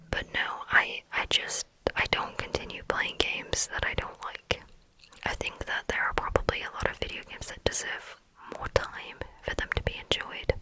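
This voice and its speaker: A woman answering viewer questions in a frank, chatty ASMR whisper, often pausing on "um". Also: poor audio. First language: English.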